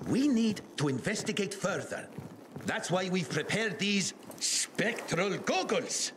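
An actor playing an excitable, patronizing male eccentric scientist in a video game, with a theatrical delivery. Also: sits high, takes it slowly, noisy background, heavily acted. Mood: brisk, smug. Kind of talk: video game dialogue acting.

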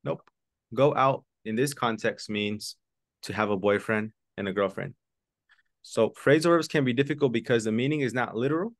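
A man teaching an online English lesson, switching between English and Spanish and repeating key words slowly for his students. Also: medium pitch, no echo, clean studio sound. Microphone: video call webcam.

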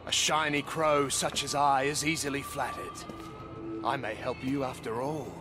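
An actor playing a vain, grandiose seer in a video game, pompous and theatrical with archaic phrasing. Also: male voice, mid-range voice, fairly even intonation, normal speed, natural voice. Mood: knowing. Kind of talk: video game character acting. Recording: music behind the voice.

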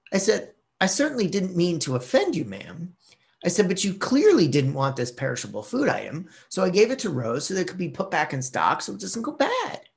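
A middle-aged man hosting his own video channel, telling a personal story to the camera with lively gestures and acted-out quotes, recorded in a small room at home on a webcam. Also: mid-range voice, gravelly. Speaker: vlog host.

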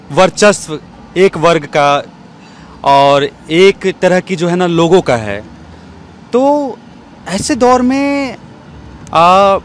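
A young man interviewing guests outdoors, asking long, deliberate questions with pauses, holding a handheld mic. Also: fairly high pitch. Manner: slow, deliberate, with many pauses and fillers. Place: outdoors in an open courtyard. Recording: faint outdoor street noise.

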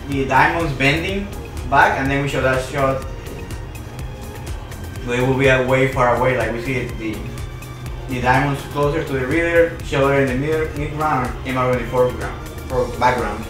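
A young man with a mid-pitched voice talks through ideas casually, thinking aloud in short fragments. He is recorded away from the mic in a very echoey room, with music playing behind him. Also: normal speed, distant mic. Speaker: manga creator, show host. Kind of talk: casual collaborative art discussion.

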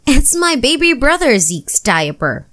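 A woman voicing a curious, excitable little boy in a storybook, exclaiming and asking questions. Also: high voice, a little slow, voice pushed up, heavily acted. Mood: disgusted.

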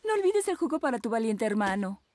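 A woman voicing a kindly, welcoming mother panda in a children's cartoon dub, gentle and affectionate. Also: on the high side, very animated, fast talker, faint music behind.

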